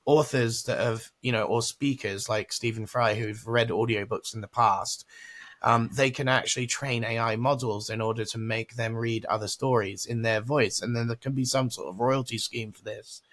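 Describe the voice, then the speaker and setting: A man's voice: mid-range voice, fairly even intonation, moderate pace, a bit bright. A man co-hosting a podcast, talking emphatically and conversationally as he makes his points. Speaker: podcast co-host.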